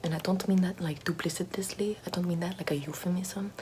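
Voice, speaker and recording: A woman's voice: lowish voice, steady tone, fairly quick, warm tone, slight background noise, lightly acted. A woman whispering as a vain, pompous aristocratic lady doing makeup in an ASMR roleplay, in a haughty, self-admiring, gossipy way.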